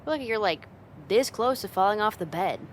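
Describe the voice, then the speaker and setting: A woman's voice: mid-range voice, expressive, normal speed, a little hiss or hum, close to an everyday voice. A woman playing a confident, teasing young woman, a friend's older sister, in a casual ASMR roleplay spoken straight to the listener. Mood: smug, teasing. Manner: playful, teasing, casual, giggly, lightly exasperated.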